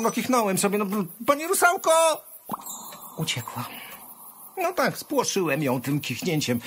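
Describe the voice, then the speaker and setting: An actor playing a curious, excitable young boy in a children's musical radio play, chatting and muttering to himself. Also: mid-range voice, slightly rough, heavily acted. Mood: hushed wonder. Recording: music behind the voice.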